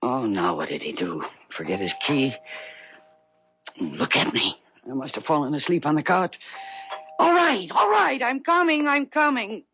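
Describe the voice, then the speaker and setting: An actress playing a blunt, bitter middle-aged wife, world-weary and dry, in a 1970s radio drama. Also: female voice, sits low, very animated, a little slow, a bit bright, a little hiss or hum, exaggerated voice. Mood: puzzled, wary. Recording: dull 1970s radio broadcast recording.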